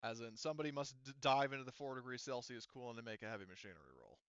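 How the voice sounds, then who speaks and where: A man's voice: mid-range voice, lively intonation, racing, fairly bright tone, clear, resonant tone, no room sound, muffled recording. A man running a tabletop roleplaying game as game master, explaining things casually.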